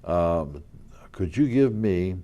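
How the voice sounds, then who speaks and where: An older man, a local historical reenactor, telling rambling stories in a casual interview, with a loud voice and many fillers and restarts. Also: sits low, animated, takes it slowly, lo-fi sound. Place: community TV studio.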